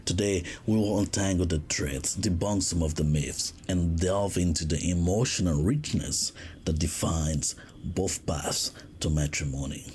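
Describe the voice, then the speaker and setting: Deep, slow man. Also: a little mellow, slight background noise. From West Africa. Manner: reflective, unhurried, with frequent pauses and restarts. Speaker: podcast host. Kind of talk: casual podcast monologue.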